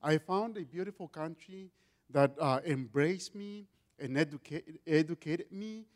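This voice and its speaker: Loud, high-voiced Mexican man testifying. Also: measured pace. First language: Spanish. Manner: loud voice, earnest with many restarts. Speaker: guest speaker giving testimony. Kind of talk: church testimony.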